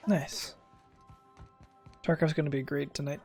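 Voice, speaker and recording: A man doing casual, offhand game-streaming commentary with a sarcastic streak and a gravelly voice. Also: a little high, level tone, unhurried, a little mellow. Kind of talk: casual gaming commentary and chat. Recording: game music behind the voice.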